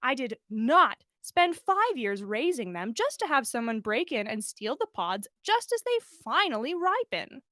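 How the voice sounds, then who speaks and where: Female reader as kindly young wizard gardener in a storybook tale: affectionate, befuddled, pitched high and lively. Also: a little slow, few pauses, no room sound, clean studio sound. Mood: fond, befuddled. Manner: affectionate, mildly befuddled, self-deprecating. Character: kindly young male wizard botanist, fondly chatting to pet.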